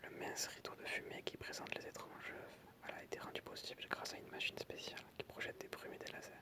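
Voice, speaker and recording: An ASMR creator whispering in French, reading aloud softly with informal, hesitant asides. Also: fairly quick.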